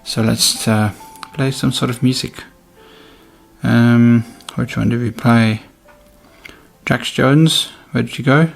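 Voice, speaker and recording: A man's voice: mid-range voice, fairly even intonation, slow, vocal fry. A man doing a casual, hands-on product review, thinking aloud informally as he demonstrates. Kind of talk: casual unboxing and review commentary.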